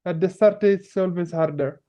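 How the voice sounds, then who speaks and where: A man's voice: high-pitched, normal speed, mellow. A man hosting a live call-in stream, friendly and affirming, laughing often with callers. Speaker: host.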